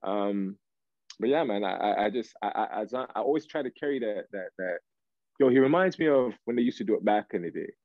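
A low-voiced man talks loosely as a guest on a video call. He is slangy and halting, circling back as he hunts for words. The sound is close and dry but a bit lo-fi. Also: lively intonation, no room sound. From Canada, raised in Toronto. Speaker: guest R&B singer. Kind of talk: casual interview chat. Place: small room, indoors. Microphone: video call, device at arm's length.

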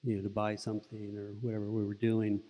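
A soft-spoken middle-aged man, a Buddhist monk, giving a relaxed, rambling talk into a stand mic in an indoor meditation room. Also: fairly low pitch, fast, long reverb tail. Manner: relaxed storytelling with frequent restarts. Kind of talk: informal dharma talk. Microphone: stand mic close in front.

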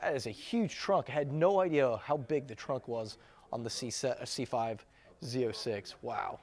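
A man's voice: medium pitch, moderate pace, darkish tone. A man giving an enthusiastic, chatty walkaround commentary as a car vlogger inside a warehouse, filmed on a handheld camera.

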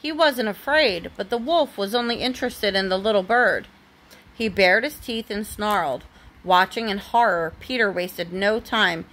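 A woman's voice: medium pitch, normal speed, a bit bright, rough recording. A woman reading a storybook aloud expressively to a young child, as a parent does at bedtime.

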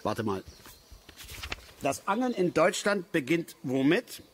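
An actor playing a blunt, rambling, know-it-all older East German angler in a naturalistic radio drama. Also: male voice, fairly high pitch, very animated, slow. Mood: pedantic. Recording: outdoor ambience with birds, clear background noise. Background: birdsong and lakeside nature ambience.

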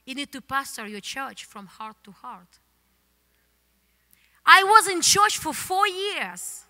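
Bright Ukrainian-accented woman pastor preaching. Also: high-pitched, slow pace. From Soviet Union. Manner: animated, gesturing, non-native English phrasing. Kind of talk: sermon. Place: church stage, indoors, large hall. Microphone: handheld microphone. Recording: long hall reverb from the church.

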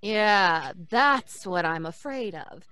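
A woman's voice: mid-range voice, expressive, takes it slowly, bright, forceful tone, clear, resonant tone, dry recording. A woman playing a brash, fun-loving young wisecracker in an old-time radio drama, with a sassy, playful drawl. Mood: teasing.

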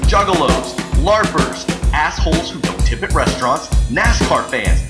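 A man's voice: on the high side, lively intonation, normal speed, close to an everyday voice. A man playing a pompous revolutionary bank-robbing gang leader in a comedy audio drama, grand proclamations slipping into petty, profane asides. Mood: grandiose, menacing. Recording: roomy echo, loud music behind. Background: music with drums.

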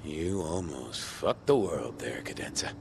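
An actor playing a brash, foul-mouthed survivor in a video game, blunt and urgent. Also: male voice, very deep voice, sing-song, measured pace, gravelly, background noise, full character voice. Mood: weary, wry. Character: brash, foul-mouthed male survivor fighting apocalyptic chaos. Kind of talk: video game cutscene acting. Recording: loud music behind the voice. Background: loud music.

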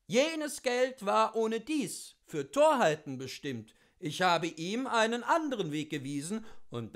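High-voiced man reading literature with animated pitch. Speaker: literary reader. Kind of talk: dramatic literary reading of a memoir.

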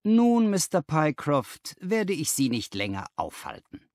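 Audiobook casting: exacting gentleman detective, read by a man, precise, emphatic, lively intonation, dry sound. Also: a little high, unhurried, no room sound. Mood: measured, keen.

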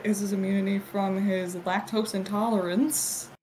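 A woman's voice: mid-range voice, level tone, moderate pace. A woman performing an irreverent, giggly, improvised cartoon fandub. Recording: clearly noisy home recording.

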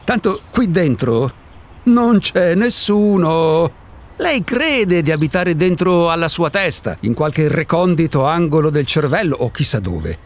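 A man's voice: a little high, big pitch swings, unhurried. An actor in a radio drama playing an eccentric, solitary man who insists he does not exist, philosophizing in a provocative, impatient way. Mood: insistent. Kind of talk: Italian radio drama acting.

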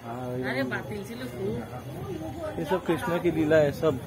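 A man's voice: fairly high pitch, slow, over a noisy crowd. A man vlogging a walking tour outdoors at a marble temple, explaining the wall paintings in a plain, casual way. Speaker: travel vlogger. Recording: noisy outdoor phone recording.

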